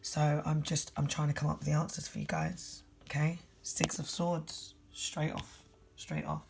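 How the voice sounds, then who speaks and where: A tired tarot reader with a husky voice and a London accent, talking fast and bluntly through a general tarot reading. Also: medium pitch, big pitch swings, noisy background.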